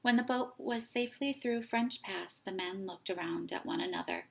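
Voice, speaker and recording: A woman reading a children's picture book aloud, with dramatic, deliberate phrasing as a storytime host. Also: mid-range voice, vocal fry, a little hiss or hum. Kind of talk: expressive children's story narration.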